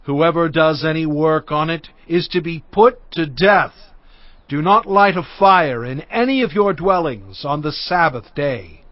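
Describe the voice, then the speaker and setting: Moses, a grave prophet, declaims earnestly to his people in a high male voice. The recording is dry and close, with a slightly dull sound. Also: animated, unhurried, no echo. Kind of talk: dramatized scripture reading.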